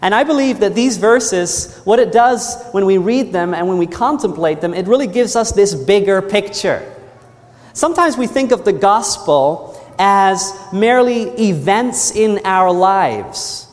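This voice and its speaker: A man's voice: high voice, expressive, measured pace. Earnest preacher man in a reverberant hall. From New Zealand. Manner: earnest, repeats phrases for emphasis, asks the audience "Amen?". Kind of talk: sermon.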